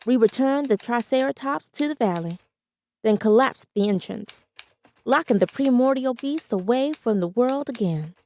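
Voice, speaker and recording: A woman's voice: fairly high pitch, expressive, moderate pace, dark tone, a little hiss or hum. Woman playing a steely, confident wartime heroine. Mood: composed. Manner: firm, confident. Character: steely, defiant wartime woman team leader. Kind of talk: pulp adventure drama acting, some narration.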